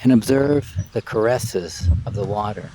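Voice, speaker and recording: A man leading a live guided meditation in a calm, measured way, in short phrases with long pauses, outdoors. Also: on the low side, background noise. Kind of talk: live guided meditation, spoken softly and unscripted. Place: outdoors by water and trees.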